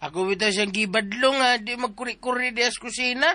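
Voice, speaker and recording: A bumbling male household helper with a Filipino accent, high and sharp in voice. He is chatty, teasing and deferential in a comic radio-play way, recorded close and dry. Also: brisk, no room sound, full character voice. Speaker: radio drama actor. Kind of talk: comic radio drama acting.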